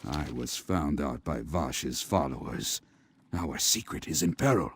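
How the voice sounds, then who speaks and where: A man voicing a weary, resolute old alien seer in a fantasy video game, raspy and solemn. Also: very deep voice, expressive, soft background music, heavily acted. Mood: urgent, grave. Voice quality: raspy, gravelly. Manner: slow, solemn, formal.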